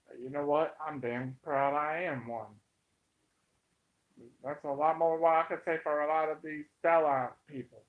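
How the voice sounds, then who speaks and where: An American man giving an informal, opinionated monologue to viewers, emphatic and halting, repeating himself to drive points home. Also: fairly high pitch. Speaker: online commentator. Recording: dull, muffled lo-fi sound.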